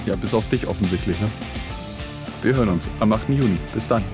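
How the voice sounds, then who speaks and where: A man's voice: lowish voice, fast, over music. A man co-hosting a podcast with casual, teasing banter. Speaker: podcast host.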